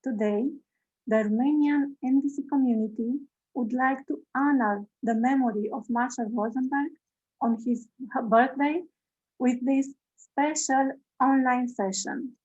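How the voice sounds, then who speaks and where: A woman giving a formal, measured welcome speech as host of an online session over a video call. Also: high-pitched, a little mellow, dull audio.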